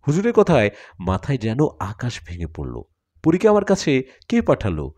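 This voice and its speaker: A man narrating a dramatic first-person story in an expressive way. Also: medium pitch, normal speed. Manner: expressive, acts out dialogue.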